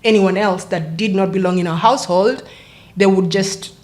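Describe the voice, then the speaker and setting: A woman speaks as a podcast guest, recalling her childhood in a reflective way. Her voice sits at an ordinary middle pitch. She often pauses, adds fillers and corrects herself mid-sentence. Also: fairly even intonation, a little mellow. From Kenya. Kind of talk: casual interview storytelling.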